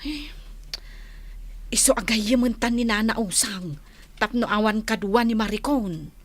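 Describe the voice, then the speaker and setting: A woman's voice: a little high, measured pace, no echo. An actress playing a sweet-talking, scheming young village woman with an Ilocano accent, coaxing expressively in a comedy radio drama. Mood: plotting.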